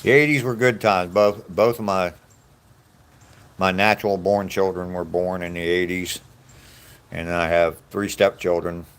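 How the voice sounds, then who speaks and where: A man's voice: sits low, steady tone, measured pace, fairly bright tone, clean tone. A man in his sixties from the United States, chatting casually with live viewers while out on a walk, reminiscing in an easygoing way and chuckling often. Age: 60s. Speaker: vlogger answering live chat. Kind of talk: casual rambling chat. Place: outdoors on a walk.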